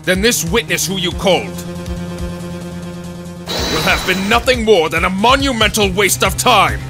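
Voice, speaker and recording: A man's voice: high voice, animated, a little slow, music in the background. A man voicing a solemn old courtroom judge in a video game fan dub, formal and authoritative. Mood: stern.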